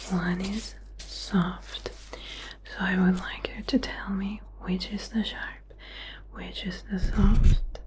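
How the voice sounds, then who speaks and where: Slow whispering woman, ASMR role play. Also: mid-range voice, a little mellow. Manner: soothing, slow, deliberately repetitive instructions. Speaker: ASMR performer playing a doctor.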